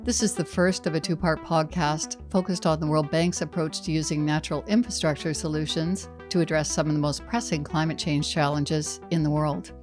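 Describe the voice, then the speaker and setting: A woman with a fairly low voice hosts a podcast, reading introductions and questions in a composed way. Faint music plays quietly behind her. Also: steady tone, brisk, few pauses. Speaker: podcast host. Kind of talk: interview hosting.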